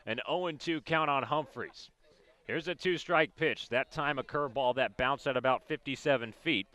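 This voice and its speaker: A man's voice: medium pitch, normal speed, bright, forceful tone, no room sound. A man calling baseball play-by-play for radio in clipped, descriptive phrases as the game unfolds. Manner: clipped descriptive calls of the action. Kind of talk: live radio sports commentary.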